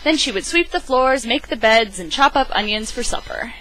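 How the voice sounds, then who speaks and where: A woman's voice: fairly high pitch, animated, moderate pace, a bit bright, slight background noise, low-quality recording. A woman giving a theatrical, emphatic read-aloud of a children's picture book, as an amateur storyteller on a webcam microphone.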